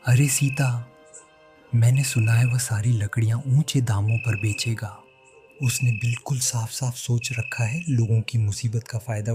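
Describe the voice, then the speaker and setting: A man's voice: medium pitch, close to an everyday voice. A man playing a poor village woodcutter and father in a melodramatic cartoon moral story, pleading and lamenting. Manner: pleading, lamenting, repeats himself. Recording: loud music behind the voice.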